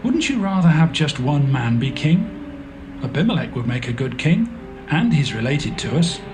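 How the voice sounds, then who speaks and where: A man's voice: on the high side, big pitch swings, moderate pace. An actor playing a scheming townsman who persuades others to back a new king, with a coaxing, wheedling delivery. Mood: persuading. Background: music score.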